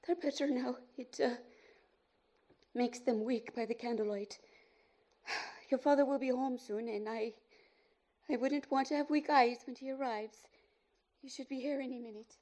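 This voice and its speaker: A woman acting a gentle, grieving mother in a radio play, halting and tender as she puts on a brave face. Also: on the high side, close to an everyday voice. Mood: brave, shaky.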